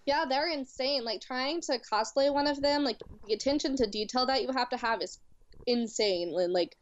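A woman's voice: high-pitched, moderate pace, lo-fi sound. A woman in her twenties from Louisiana, a cosplayer chatting casually as a guest and filling her talk with "like". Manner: chatty, heavy with "like" fillers. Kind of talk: casual chat.

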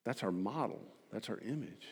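A man delivering a sermon to a congregation as a preacher, emphatic and rhetorical, building points through repetition and pointed pauses. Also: on the low side, animated, darkish tone, husky. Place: large reverberant hall. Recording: long hall reverb.

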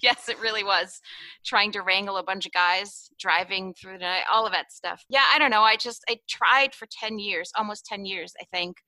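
A woman's voice: medium pitch, moderate pace, sharp tone, no echo, low-quality recording. A woman in her thirties who grew up in Germany, telling her story in a rambling, chatty way with many fillers and laughs. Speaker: guest. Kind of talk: casual conversational interview.

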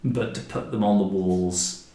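Low-voiced man in an echoey room. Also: fairly even intonation, unhurried, warm tone. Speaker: podcast guest or host discussing a production. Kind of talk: conversational podcast discussion. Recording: roomy, echoey sound.

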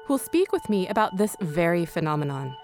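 A woman's voice: on the low side, sing-song, brisk, music in the background. A woman hosting a radio documentary, reading scripted narration. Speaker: host.

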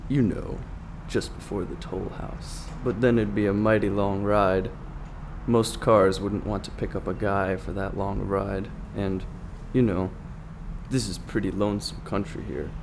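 An actor playing a chatty, folksy gas station attendant in a radio drama, casual and talkative. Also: male voice, lowish voice, fairly even intonation, a little slow, gravelly, a little mellow, slight background noise. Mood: amused, doubtful.

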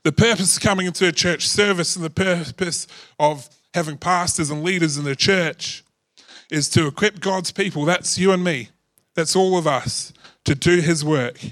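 Husky-voiced pastor preaching. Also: male voice, on the high side, moderate pace, fairly bright tone, dry recording, clean studio sound. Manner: casual, joking preacher. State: slightly husky voice. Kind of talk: sermon. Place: church.

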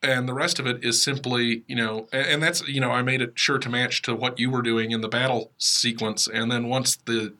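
A man's voice: medium pitch, level tone, moderate pace, few pauses, bright, forceful tone. An American man co-hosting a podcast, explaining things casually with wry digressions. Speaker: podcast co-host and writer. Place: podcast studio.

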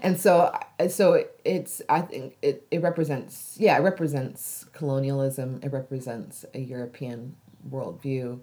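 Low-voiced American woman musing aloud. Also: fairly even intonation, measured pace, mellow. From United States. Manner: thoughtful, many restarts, laughs easily. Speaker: interview guest. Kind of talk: reflective conversational interview.

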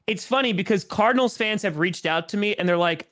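A young man hosting a casual sports podcast, talking loosely and excitedly with lots of fillers. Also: sits high, fairly quick. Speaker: podcast host.

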